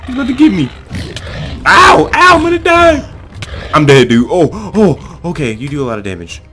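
Animated, slangy young man gaming. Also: fairly high pitch, normal speed, very noisy. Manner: excitable, slangy, swearing. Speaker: gaming video creator. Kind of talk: casual gameplay banter with friends.

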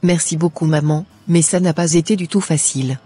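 Man acting as young cartoon woman, earnest, measured, husky, slightly high, music underneath. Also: mellow. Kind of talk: scripted cartoon dialogue.

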